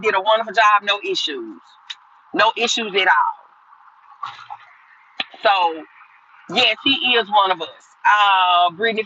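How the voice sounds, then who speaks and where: A woman's voice: medium pitch, bright, forceful tone, highs cut off, a little hiss or hum. A woman in her fifties hosting a livestream, chatting casually with viewers and answering their comments in a rambling, repetitive way. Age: 50s. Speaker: livestream host.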